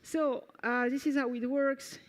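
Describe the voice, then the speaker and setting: A woman with a French accent presents a technical conference talk, walking the audience through a live code demo. She sounds enthusiastic and often restarts her sentences or fills pauses with "uh". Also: high-pitched, fairly even intonation. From France. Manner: enthusiastic, frequent fillers and restarts. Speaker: conference speaker. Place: conference stage before an audience.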